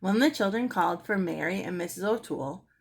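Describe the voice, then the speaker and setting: A woman reading a children's book aloud as an expressive storyteller. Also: mid-range voice, a little fast, vocal fry, slightly rough. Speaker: storybook reader.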